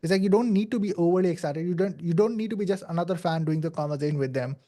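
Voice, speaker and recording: Young male podcast guest, Pakistani accent, non-native English, higher-pitched but mellow, earnest and filler-heavy. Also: very fast. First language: not English. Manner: earnest, many "like" fillers and restarts. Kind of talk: casual interview storytelling.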